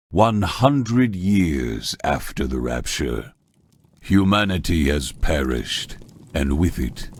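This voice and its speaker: An actor playing a stern, authoritative older demon mentor in a video game, speaking gravely and deliberately. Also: male voice, very deep voice, a little mellow, slight background noise, heavily acted. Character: gruff older male demon coach and narrator, stern, measured. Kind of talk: dramatic scripted narration and game character acting.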